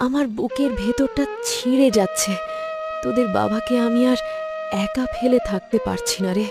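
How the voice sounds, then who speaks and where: A rather high-voiced, frail and self-sacrificing village mother, acted by a woman for a cartoon. She speaks humbly and tenderly, with a pleading edge. Music plays clearly under her. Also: very animated, normal speed. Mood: dying, pleading. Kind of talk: Bengali cartoon moral-story voice acting.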